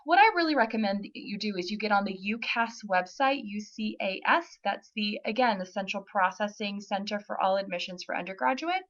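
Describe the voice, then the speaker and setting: A woman's voice: mid-range voice, a bit bright. Woman, admissions rep, friendly and informative, webinar Q&A, muffled lo-fi sound. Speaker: university admissions representative. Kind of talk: answering typed questions in a webinar.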